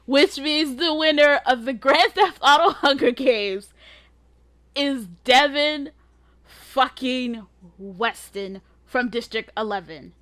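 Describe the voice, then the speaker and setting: A woman's voice: high voice, takes it slowly, fairly bright tone. A woman hosting a gaming series with casual, sweary commentary, switching between mock-dramatic announcing and offhand asides. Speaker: host of a gaming channel series. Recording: music behind the voice. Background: music.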